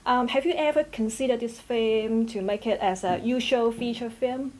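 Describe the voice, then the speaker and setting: A woman's voice: fairly high pitch, moderate pace, a little mellow, low-quality recording. A woman from Hong Kong interviewing a filmmaker, asking hesitant questions full of ums.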